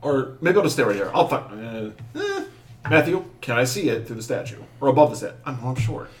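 A man's voice: medium pitch, huge pitch swings, a little fast. A man playing a tabletop game, joking and bantering casually at the table. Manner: jokey, playful.

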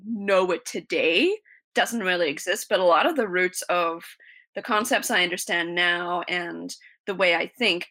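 A woman's voice: lowish voice, sharp tone. A woman teacher from South Africa, a guest answering interview questions earnestly with a lot of "you know". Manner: earnest, explanatory, many "you know" fillers.

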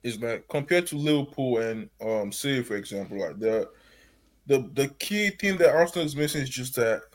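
A man's voice: mid-range voice, animated, moderate pace. Blunt man in casual football banter. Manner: blunt, casual slang, laughs mid-sentence.

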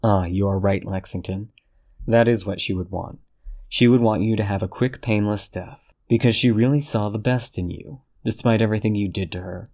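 A man voicing a cold, controlled detective who speaks in a measured, menacing way. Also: fairly low pitch, steady tone, a little mellow.

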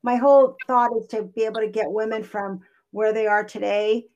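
A woman's voice: a little high, steady tone, moderate pace, a little mellow, dull audio. Earnest woman life coach guest. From Hawaii. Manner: earnest, reflective, many fillers and restarts. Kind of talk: interview conversation.

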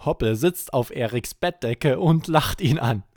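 A man hosting a children's podcast, narrating a scripted bedtime story in an expressive, deliberate way. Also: medium pitch, no echo, clean studio sound.

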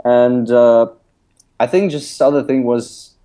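A man's voice: medium pitch, steady tone, slow pace, dark tone. A young man from Lithuania, a non-native speaker of English, talking casually as an interview guest with many fillers and restarts. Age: young adult. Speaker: guest, app designer and author. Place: recording remotely from abroad.

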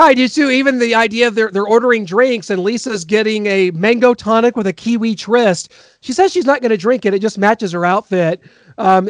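A man's voice: high-pitched, dry recording. A man co-hosting a podcast, eagerly recounting a TV episode's plot in casual chat. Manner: enthusiastic, recounts plot in detail. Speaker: podcast co-host.

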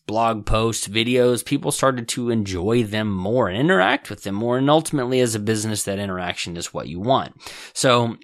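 A man's voice: mid-range voice, fairly bright tone, no echo. Dry-voiced man teaching business basics. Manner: conversational, self-correcting, folksy asides. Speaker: podcast host and business author. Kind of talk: casual instructional podcast talk.

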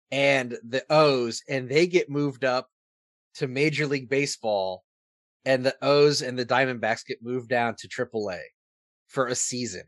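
A man's voice: mid-range voice, fairly bright tone, no room sound. Man, American accent, sports podcast co-host, opinionated, casual, rhetorical questions, dry close sound.

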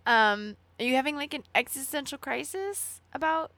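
A woman's voice: sits high, animated, moderate pace, a bit bright, no room sound. A young woman co-hosting a podcast, upbeat and laughing often as she steers the chat with questions. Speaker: podcast co-host. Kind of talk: casual chat.

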